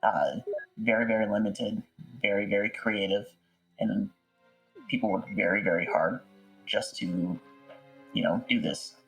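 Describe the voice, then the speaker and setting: Male interview guest, deep register, informal and halting delivery, light music bed. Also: creaky, fairly bright tone, low-quality recording. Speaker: interview guest, audiobook voice actor. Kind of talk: casual conversational interview.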